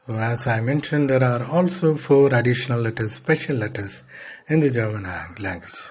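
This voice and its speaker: A man giving an instructional language lesson as a teacher, deliberately sounding things out piece by piece. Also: mid-range voice, darkish tone. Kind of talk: slow instructional lesson with repetition.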